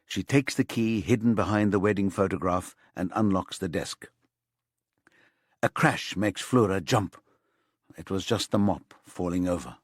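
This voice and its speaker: A man with a fairly low voice narrates a crime thriller audiobook. His delivery is measured and scripted, and the recording is very dry and close, with no room sound. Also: slightly rough, no room sound.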